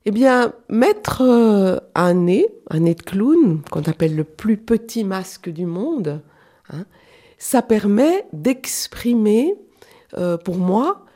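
A woman from Switzerland, a clown and actress, speaking as a guest in a radio studio interview, thoughtful and halting with many fillers. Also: mid-range voice, very animated, dark tone.

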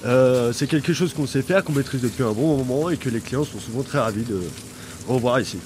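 A man who works as a cook, giving short, calm answers in an interview. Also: medium pitch, brisk, noisy background.

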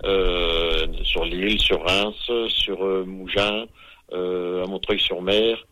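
A man from northern France, a chef interviewed on the radio, speaking haltingly with many fillers. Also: low voice, steady tone, sharp tone, no echo, poor audio. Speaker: school chef, guest.

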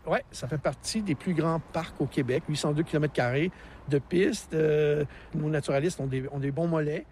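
A man's voice: a little high, moderate pace, darkish tone, a little hiss or hum. A man in his sixties with a Québécois accent, a park naturalist explaining the mountain landscape with enthusiastic, teacherly energy. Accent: Québécois French. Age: 60s. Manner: enthusiastic, teacherly, laughs easily. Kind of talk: radio interview, explaining outdoors.

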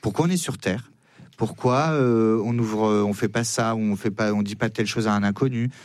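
A man's voice: fairly low pitch, normal speed, no room sound, clean studio sound. A man arguing his point as a radio debate guest, insistent, in short broken phrases. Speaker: studio guest.

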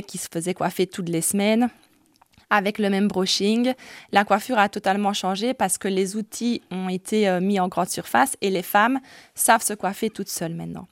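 Earnest woman with a gravelly voice. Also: mid-range voice, dry recording, clean studio sound. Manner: earnest, hesitant with many "euh". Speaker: hairdresser and salon director. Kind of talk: radio interview guest.

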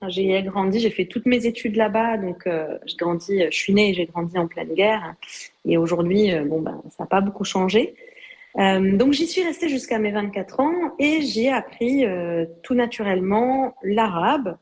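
A woman's voice: medium pitch, moderate pace, darkish tone. Hesitant Lebanese-born woman telling her story. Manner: hesitant, many fillers and restarts. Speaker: guest. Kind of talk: interview, personal story.

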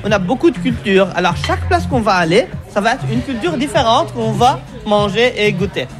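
A woman's voice: fairly high pitch, animated, a little fast, over music. A woman guiding a food tour in French, which is not her first language, who quizzes her listener and keeps confirming with "exactement" in a pizzeria. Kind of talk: explaining to a radio reporter on a tour. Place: pizzeria restaurant indoors.

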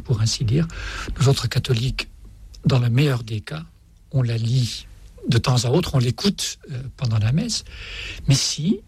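Husky, gravelly priest with a Dutch-Flemish accent. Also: male voice, medium pitch, a little mellow, no echo. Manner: deliberate, halting phrasing with repetitions for emphasis. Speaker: Jesuit priest, author, interview guest. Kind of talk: reflective radio interview answers.

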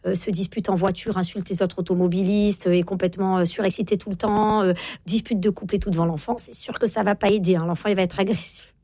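A woman's voice: mid-range voice, fairly even intonation, fast, dark tone, no echo. A woman in her forties, a guest on a radio talk show, speaking emphatically with many fillers and tag words. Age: 40s. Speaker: guest author on parenting. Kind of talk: radio interview.

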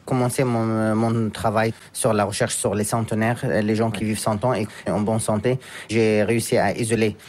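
A man from France, raised in Japan, answering interview questions in a halting way as he searches for words. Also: fairly low pitch, level tone, a little mellow. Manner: halting, searching for words, with frequent pauses and restarts. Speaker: guest, scientist and businessman.

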